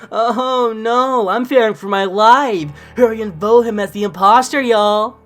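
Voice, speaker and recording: A woman's voice: a little high, measured pace, faint music behind, natural voice. A woman playing a taunting, thin-skinned teenage boy in an audio drama, with mocking, foul-mouthed gamer slang. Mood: mocking, defiant.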